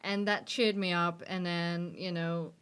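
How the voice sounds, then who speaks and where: A woman's voice: fairly low pitch, little pitch movement, a little slow, fairly bright tone, smooth. A woman in her thirties talking openly and earnestly to the camera in a personal vlog, seated in a gaming chair in a dim room, with self-deprecating laughs and false starts. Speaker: vlogger.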